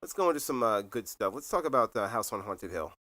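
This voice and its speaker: A man's voice: on the low side, lively intonation, fast, sharp tone, dry recording. A middle-aged man co-hosting a casual podcast, rambling with fillers and laughing often. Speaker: podcast co-host. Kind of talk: casual chat.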